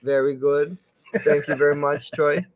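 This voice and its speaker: A man's voice: fairly high pitch, level tone, normal speed, low-quality recording. A man in his thirties giving casual, rambling live fight commentary, often repeating his phrases. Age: 30s. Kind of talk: casual live play-by-play chat.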